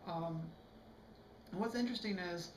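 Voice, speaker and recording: A hoarse man giving a casual, rambling video book review, full of fillers and restarts. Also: high voice, normal speed, roomy sound, a little hiss or hum, low-quality recording.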